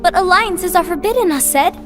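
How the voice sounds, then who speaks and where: An actress playing a gentle, hesitant young guild leader in an animated game cutscene, speaking tentatively. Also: female voice, very high-pitched, brisk. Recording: background music under dialogue.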